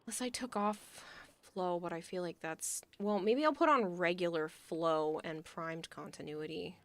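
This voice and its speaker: A woman streaming a game and chatting casually, thinking aloud as she plans, her voice going hoarse. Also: on the low side, a little slow, a little hiss or hum. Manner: thinking aloud, hesitant, soft at times. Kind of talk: casual game livestream chat.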